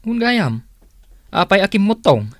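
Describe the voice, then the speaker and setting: A man's voice: sits high, very animated, unhurried, a bit bright, no room sound, clean studio sound, exaggerated voice. A man playing an easygoing, indulgent husband in an Ilocano radio drama, speaking casually and expressively. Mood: curious, surprised. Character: easygoing, indulgent husband, curious and mildly surprised.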